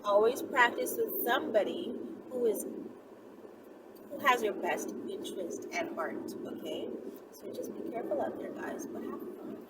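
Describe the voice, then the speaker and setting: Sassy woman vlogging over loud background noise. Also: a little high, animated, normal speed. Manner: informal, sassy, emphatic, repeats herself for emphasis. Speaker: online host answering viewer questions. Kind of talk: casual chatty vlog talk. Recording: distant mic, loud vehicle-like background noise.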